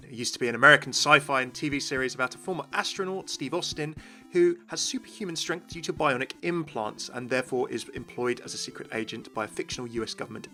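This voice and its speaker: A man presents a scripted video game explainer. His voice is bright and keen, pitched in the middle, and he addresses viewers directly over faint background music. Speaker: video host, game lore commentator.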